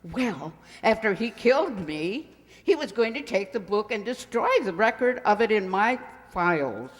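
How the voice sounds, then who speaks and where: A woman acting a fluttery, slow-paced lady librarian. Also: mid-range voice, very animated. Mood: chatty, indignant. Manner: fussy, dramatic, digressing. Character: fluttery, chatty, indignant lady librarian, absentminded hostess. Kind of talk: old-time radio mystery acting. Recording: reverberant hall.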